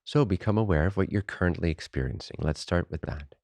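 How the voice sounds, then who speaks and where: A man leading a guided meditation as a teacher, unhurried and informal, speaking in short phrases with pauses between them. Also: low voice, a little mellow, dry recording.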